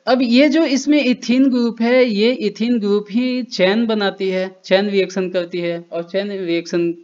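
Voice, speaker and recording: A man's voice: sits high, measured pace, darkish tone. A man giving a loose, conversational explanation over slides, with fillers and restarts. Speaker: educational channel presenter. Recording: dull lo-fi voice-over.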